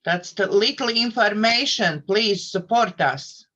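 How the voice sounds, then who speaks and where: A woman with a Latvian accent hosts a live tarot stream in a high, bright voice. She speaks very slowly, choosing her words with careful, non-native phrasing. The stream audio is dull and lo-fi. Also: expressive. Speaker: host of the tarot channel. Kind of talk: casual live-stream chat.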